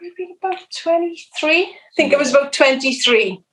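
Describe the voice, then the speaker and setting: A woman's voice: high voice, lively intonation, fairly quick, a bit bright. A Welsh woman from South Wales, a podcast guest telling her faith story in a rambling way, full of ums. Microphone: video call. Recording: echoey room over video call.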